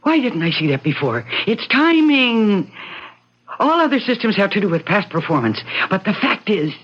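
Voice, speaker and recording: An actress playing a restless, secretive wife hooked on gambling, confessional and intense in old-time radio drama style. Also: female voice, medium pitch, sing-song, normal speed, breathy edge. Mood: excited. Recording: dull vintage radio recording, loud music.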